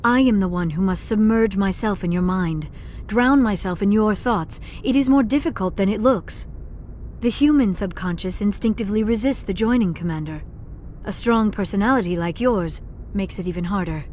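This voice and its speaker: A woman playing a gentle, earnest young alien scientist in a video game, speaking formally and precisely. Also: medium pitch, normal speed, a little hiss or hum, close to an everyday voice. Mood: earnest, weary. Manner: formal, precise speech without contractions. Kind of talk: video game dialogue acting.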